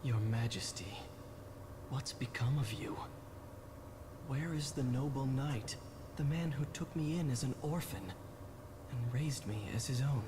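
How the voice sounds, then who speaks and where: An actor playing a brooding, guilt-ridden young knight in a video game, solemn and halting. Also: male voice, mid-range voice, close to an everyday voice. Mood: anguished reproach. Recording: noisy game ambience behind.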